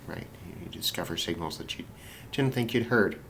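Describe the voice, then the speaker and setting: Guy with a lowish voice chatting off the cuff about his hobby gear, bit of noise in the background. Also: a little fast, fairly bright tone. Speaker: shortwave radio hobbyist presenter. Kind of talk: casual unscripted radio demonstration talk. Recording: clearly noisy hobby recording.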